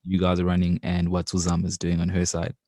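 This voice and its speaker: Very deep-voiced male podcast host. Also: completely flat delivery, fast talker, darkish tone, no room sound, studio quality. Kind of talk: casual interview questioning.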